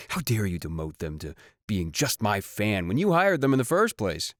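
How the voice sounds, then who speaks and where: Man playing a low-voiced flirty band idol. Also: wildly swooping pitch, measured pace, fairly bright tone, no echo, clean studio sound, exaggerated voice. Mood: mock offended. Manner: playful, suggestive, drawling asides. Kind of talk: scripted romantic audio roleplay.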